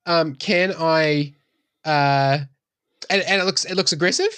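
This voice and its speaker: A woman's voice: low-pitched, a little slow, fairly bright tone, clean tone. A woman playing a tabletop role-playing game. She speaks in a casual, improvised way, with dry, joking delivery. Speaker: tabletop RPG player.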